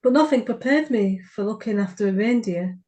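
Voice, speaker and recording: A woman's voice: mid-range voice, animated, a little fast, warm tone. A woman co-hosting a writers' podcast, reading short fiction aloud. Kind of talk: story reading.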